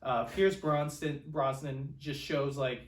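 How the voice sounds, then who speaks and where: A man's voice: mid-range voice, steady tone, takes it slowly. Echoey, distant young man, earnest podcaster. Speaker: film podcast co-host. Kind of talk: casual film discussion. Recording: roomy echo, distant from microphone.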